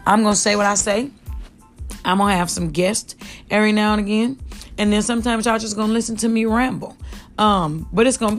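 A woman with a raspy voice hosting her own podcast from home, rambling casually and full of slang. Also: mid-range voice. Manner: slangy, chatty, addresses listeners as y'all. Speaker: podcast host.

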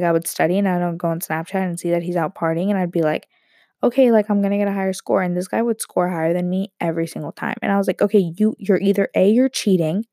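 A woman's voice: on the low side, no room sound, studio quality. A young woman telling a personal story in a rambling, joking way. She is fighting a cold, so her voice sounds throaty. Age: young adult. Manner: animated, self-interrupting, joking asides.

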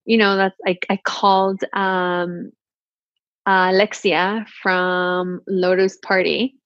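A woman's voice: mid-range voice, slow, dry recording. Chatty woman, dry muffled sound. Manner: chatty, animated storytelling with quoted exchanges. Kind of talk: casual chat. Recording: slightly muffled, lo-fi audio.

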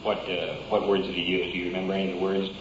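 A man's voice: low voice, monotone, rapid-fire. A man working as a police sergeant, formally and politely questioning witnesses. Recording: dull, echoey, faintly noisy field recording.

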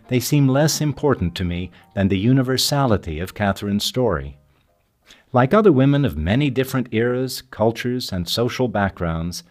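A man hosting a podcast, reading scripted narration in a measured, formal way. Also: mid-range voice, a little mellow. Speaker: podcast host and author.

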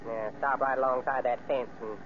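An actor playing a tough, bossy gangster with curt, slangy delivery in a 1930s radio crime drama. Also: male voice, mid-range voice, fairly even intonation, normal speed, natural voice. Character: tough, bossy male gangster leading a bank job. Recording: tinny, noisy old radio recording.

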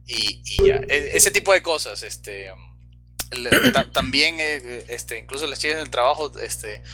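A man's voice: mid-range voice, lively intonation, unhurried, pushed and bright. A man from Venezuela hosting a casual podcast chat, rambling with many fillers and restarts.

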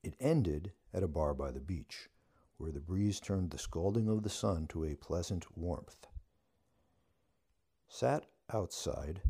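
Deep-voiced male story reader. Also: mellow, slightly rough. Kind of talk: scripted narration of a short story.

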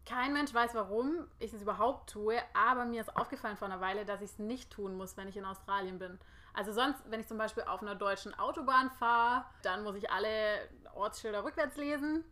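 Woman, late twenties, from Lake Constance, podcast co-host, bright, chatty, giggly. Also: medium pitch, brisk. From Germany, Lake Constance area. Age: 20s. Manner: chatty, giggly, self-mocking. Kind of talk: casual chat.

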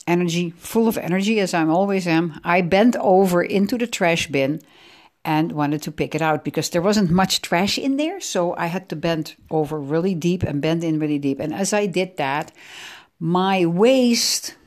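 A middle-aged Dutch woman talks through her solo podcast in a rambling, chatty flow, stopping to correct herself. Her voice sits a little low for a woman. She sounds sore, nursing aching ribs. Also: expressive, normal speed, a little mellow. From Netherlands. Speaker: podcast host. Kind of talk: casual solo podcast monologue.

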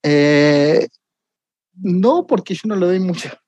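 A man's voice: high-pitched, animated, takes it slowly, a little mellow, no echo, lo-fi sound. Argentine man chatting, dry sound. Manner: chatty, many fillers. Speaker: guest. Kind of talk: casual conversation.

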